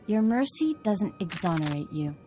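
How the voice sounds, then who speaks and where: A woman's voice: medium pitch, very animated, normal speed, warm tone, natural voice. A woman playing a solemn, dutiful knight in a video game, with a formal, restrained manner. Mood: stern. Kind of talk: video game cutscene acting. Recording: clear music behind the voice.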